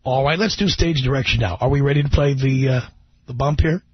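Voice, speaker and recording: A man's voice: medium pitch, a little fast, slightly rough, no echo. A middle-aged man hosting a live radio talk show, brash and joking, teasing his own studio staff. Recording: dry, dull radio broadcast.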